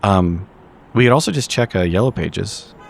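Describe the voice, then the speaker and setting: A man playing a blunt, awkwardly friendly female investigator in an improvised tabletop roleplay, talking casually and haltingly. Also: fairly low pitch, animated, vocal fry, a little hiss or hum. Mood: awkward, helpful.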